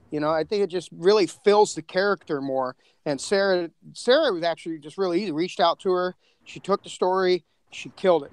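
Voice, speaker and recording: Male podcast guest, highish voice, effusive slangy chatter, restarting phrases, dry recording. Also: no room sound. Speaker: podcast co-creator and panel guest. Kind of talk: casual chat.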